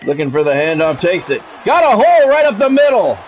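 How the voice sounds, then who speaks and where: A man's voice: high-pitched, big pitch swings, fairly quick, darkish tone, muffled recording, some chatter behind, slight background noise. A man streaming a football video game, mixing play-by-play commentary with casual, blunt, salty chat.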